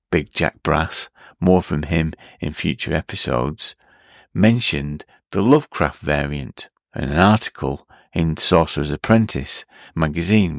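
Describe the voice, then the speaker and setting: A man's voice: low-pitched, no echo, studio quality. A middle-aged man hosting a podcast, speaking in a reflective solo narration. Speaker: podcast host.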